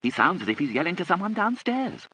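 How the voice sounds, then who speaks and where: A man's voice: high voice, sing-song, fast talker, bright, forceful tone, slight background noise, voice pushed up, heavily acted, poor audio. A man voicing a bossy, dramatic young woman, emphatic and theatrical, in an audiobook. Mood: arch.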